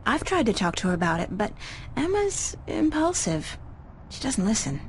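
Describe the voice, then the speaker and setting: A woman's voice: medium pitch, very animated, moderate pace, a little hiss or hum, lightly acted. A young woman voicing a weary, wry, easygoing art student in a video game, with a casual, understated delivery. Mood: friendly, curious. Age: young adult. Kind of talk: conversational video game voice acting.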